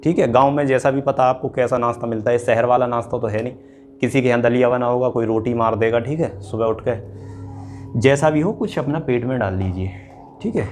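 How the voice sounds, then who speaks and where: A man's voice: medium pitch, a little fast, darkish tone, faint music behind. A man giving an informal, explanatory exam-coaching lecture, often repeating points and checking in with students. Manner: explanatory, repetitive, with frequent check-in tags.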